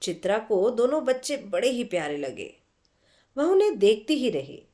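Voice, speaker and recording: A woman's voice: on the high side, big pitch swings, normal speed, warm tone. A woman storyteller hosting a podcast, narrating expressively and speaking directly to her listeners.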